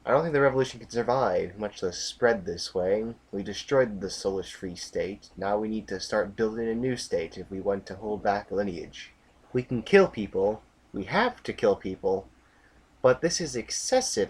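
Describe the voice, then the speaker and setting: A man playing a sober, war-weary revolutionary general in an audio drama, with a husky voice and a measured, blunt manner. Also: lowish voice.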